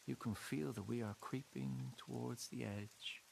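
Intense, deliberate cult leader preaching. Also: male voice, medium pitch, fairly even intonation, creaky, darkish tone, slight background noise, poor audio. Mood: ominous, slow. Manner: deliberate, repetitive, rhetorical build-ups. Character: intense messianic male cult leader preaching to his flock. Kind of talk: dramatic sermon.

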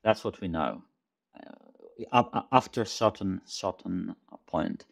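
Man, low voice, halting delivery, repeats words, video call guest in a small home room. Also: creaky. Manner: thoughtful, halting, repeats words while searching. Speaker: tech company CEO guest. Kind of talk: conversational interview over video call. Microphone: headset over video call.

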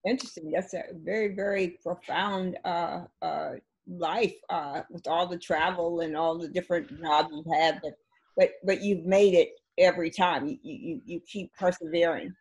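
Husky-voiced woman hosting a video call. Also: fairly low pitch, fairly even intonation, moderate pace, darkish tone, poor audio. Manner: encouraging, affirming questions. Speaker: host. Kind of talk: interview host on a video call. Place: indoor living room. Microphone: video call webcam.

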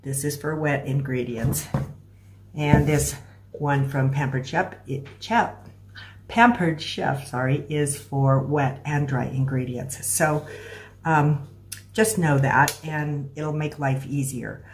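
A middle-aged American woman giving a casual, step-by-step cooking demonstration in a halting, explanatory way. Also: low voice. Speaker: home cooking instructor. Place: small room at home, indoors. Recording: clear background noise with clicks.

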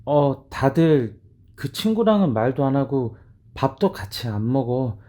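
A woman's voice: a bass voice, sing-song, a little slow, warm tone, pitched below the performer's own voice, heavily acted. A woman voicing a withdrawn middle-school boy in an audio drama, hesitant and often trailing off. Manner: hesitant, trailing off mid-sentence.

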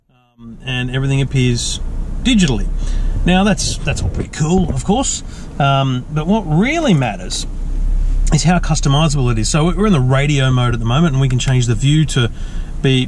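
An Australian man casually showing off a car's digital dashboard, relaxed and chatty with frequent ums and restarts, recorded inside a parked car. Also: medium pitch, slight background noise. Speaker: tech reviewer. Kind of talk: casual car feature walkthrough.

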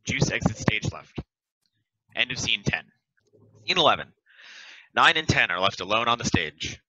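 A man's voice: mid-range voice, sing-song, moderate pace, sharp tone, a little hiss or hum. A young man reading stage directions aloud as scripted narration over a video call. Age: young adult. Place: home room, over a group video call. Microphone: headset on video call. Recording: dull, band-limited video call.

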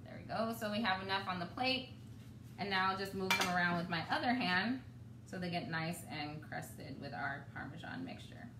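A woman in her thirties hosting a live home cooking demo, chatty and relaxed, laughing often. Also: fairly low pitch, normal speed. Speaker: cooking show host. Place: home kitchen, indoors. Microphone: camera across the kitchen. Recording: roomy, distant, noisy home kitchen.

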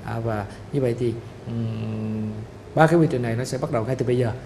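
A middle-aged man explaining a city project in a formal interview, measured and full of "ờ" pauses. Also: mid-range voice, vocal fry, background noise. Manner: measured, explanatory, frequent "ờ" fillers. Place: studio office set with photo wall. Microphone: lapel mic.

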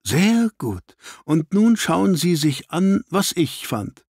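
A man voicing a brisk, raised-pitch gentleman detective. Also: big pitch swings, dry recording, studio quality, exaggerated voice. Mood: approving, lively. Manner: precise, deductive, clipped statements. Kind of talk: dramatised audiobook reading.

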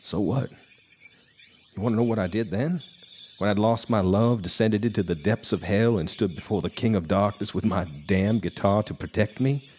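A man's voice: lowish voice, moderate pace, a little mellow, close to an everyday voice. An actor playing a bitter, haunted, hard-drinking guitarist, telling his tale in a brooding, theatrical noir radio drama style. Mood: bitter, ominous. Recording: live stage recording with background noise.

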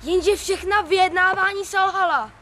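Woman playing a squeaky-high, blustering king. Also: fairly even intonation, pushed and bright, a little hiss or hum. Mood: stubborn. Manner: emphatic, imperious. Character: indignant, blustering king of a cheerful kingdom.

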